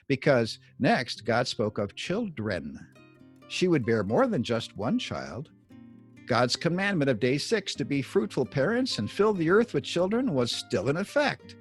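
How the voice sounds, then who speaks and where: A man reading scripted Bible teaching like an audiobook author, deliberate and emphatic, with measured pauses. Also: medium pitch, breathy edge. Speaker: author reading own book excerpt.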